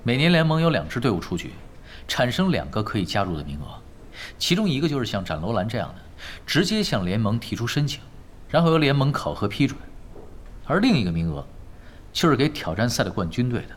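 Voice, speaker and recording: An actor playing a calm, confident young male pro gamer in an audio drama, unhurried and explanatory with light teasing. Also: mid-range voice. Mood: calm explaining. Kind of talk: Chinese audio drama acting. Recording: studio voice over clear background music.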